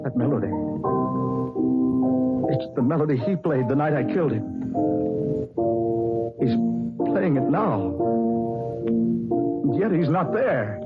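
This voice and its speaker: A man's voice: mid-range voice, animated, takes it slowly, pauses often. A man playing a guilt-haunted murderer in an old radio drama, muttering in fear to himself. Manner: tormented, fearful muttering. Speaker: Keith Grange, millionaire. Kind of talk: 1940s radio acting. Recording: lo-fi old radio recording with loud music.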